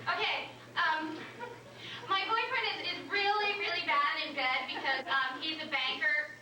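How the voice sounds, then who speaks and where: High-voiced nervous female comic, halting and flustered, played by an actress; very echoey, noisy live stage recording. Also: steady tone, distant mic, a crowd in the background. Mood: awkward, nervous. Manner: halting, flustered delivery with restarts. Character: nervous young woman stand-up comic named Joni, insecure. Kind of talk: staged reading of a comedy script before an audience. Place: live comedy club staged reading.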